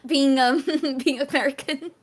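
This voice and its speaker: A young woman from the Philippines, a guest content creator, telling stories in animated, laugh-filled casual chat. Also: high-pitched, unhurried. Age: young adult. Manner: animated storytelling, laughs often between words.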